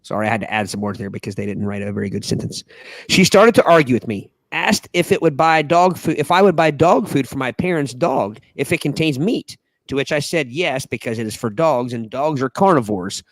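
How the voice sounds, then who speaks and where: Lively-voiced man reading a post aloud. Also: medium pitch, a little fast, no room sound. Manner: reads with frequent laughing breaks and asides. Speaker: podcast host reading a listener post. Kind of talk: casual podcast chat, reading aloud.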